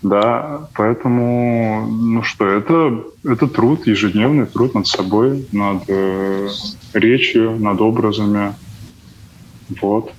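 Deep velvety man, slightly dull noisy recording. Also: slow pace. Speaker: guest, acting student. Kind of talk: conversational interview answers.

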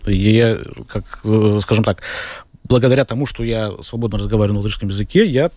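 A man from Latvia, a film director, answering interview questions thoughtfully, with frequent pauses and fillers. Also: lowish voice, a little mellow, no echo. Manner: thoughtful, hesitant, many fillers and restarts. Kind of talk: radio interview.

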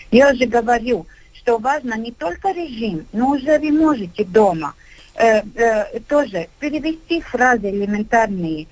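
A middle-aged woman with a somewhat high voice speaks as a kindergarten director in a radio studio. She pauses often and fills the gaps with hesitation sounds as she talks her points through. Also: a little mellow, rough recording. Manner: halting, many filler sounds. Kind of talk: radio discussion.

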